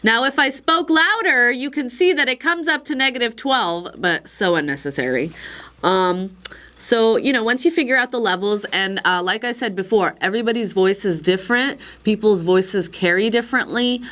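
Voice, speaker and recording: A slightly hoarse woman giving a casual, chatty product demonstration, with frequent fillers and wry asides. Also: on the high side, normal speed, bright, forceful tone. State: a little hoarse. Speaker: instructor demonstrating a recorder.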